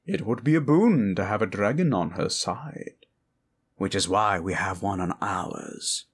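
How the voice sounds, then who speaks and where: A man voicing a grave skeleton-faced wizard with a low gravelly voice and a measured, formal manner, in a fantasy ASMR roleplay. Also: animated. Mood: solemn, commanding. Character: grave, scary skeleton-faced male wizard, wise quest-giver.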